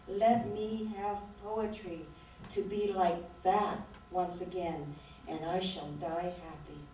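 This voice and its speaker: Slow-reading woman in her sixties, echoey hall. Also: on the low side, fairly even intonation, background noise. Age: 60s. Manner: slow, measured, reflective. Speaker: author giving a reading. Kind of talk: poetry reading with talk between poems. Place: lecture room at a literary event. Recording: very echoey room, voice distant from mic.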